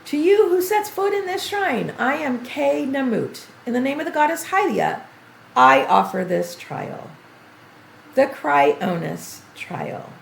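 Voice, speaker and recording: An older woman reading the lines of an ancient male monk in a video game, slow and formal, in a raised voice. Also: sits high, very animated, vocal fry, reverberant, slight background noise, voice pushed up, heavily acted, rough recording. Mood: solemn.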